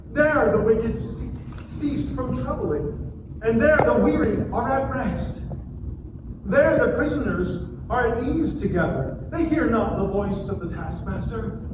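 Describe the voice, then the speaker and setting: An afflicted, despairing man from a scripture drama, acted by a man, lamenting in a solemn declamation. The delivery is very slow and high, ringing through a very echoey space. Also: far from the mic, full character voice. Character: afflicted man in anguish, cursing his birth. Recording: very echoey hall from afar, noisy.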